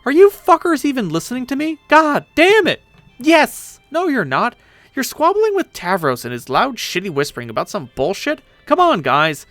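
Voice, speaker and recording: A man's voice: medium pitch, sing-song, fairly quick, creaky, a bit bright, no room sound, faint music behind. A man voicing a brash, sarcastic, boastful female pirate ghost in a dramatic story reading. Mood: exasperated, loud. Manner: impatient, sarcastic, swearing.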